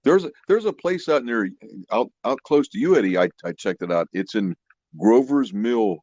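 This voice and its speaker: A man's voice: mid-range voice, lively intonation, moderate pace, a little mellow, dry recording. A man chatting casually as a podcast co-host, inquisitive and often repeating words, over a muffled connection. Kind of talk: casual chat.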